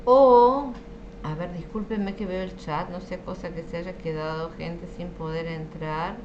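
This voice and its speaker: Slow, low-voiced Argentine woman teaching. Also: highs cut off, noisy background. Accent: Argentine Spanish. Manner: patient, explanatory, checks understanding with frequent "¿sí?". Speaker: French teacher. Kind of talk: online class lecture.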